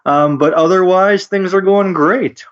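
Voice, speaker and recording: A Canadian man co-hosting a business podcast, a bit under the weather, explaining points in a measured way. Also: high voice, clear, resonant tone. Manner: measured, explanatory, sets up questions. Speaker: podcast co-host. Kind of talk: casual business podcast chat. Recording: dull lo-fi audio.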